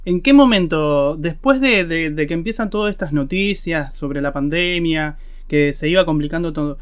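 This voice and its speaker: An Argentine man hosts this interview with a smooth, resonant voice that sits a little high. He builds his questions slowly, winding through them before he gets to the point. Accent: Argentine Spanish. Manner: asks long winding questions. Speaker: interviewer host. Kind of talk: casual interview.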